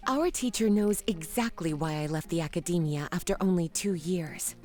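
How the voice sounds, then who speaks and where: A woman's voice: on the low side, normal speed, warm tone, faint music behind, natural voice. A woman playing a poised, self-assured, teasing scholar turned librarian in a video game, speaking languidly. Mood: coolly firm.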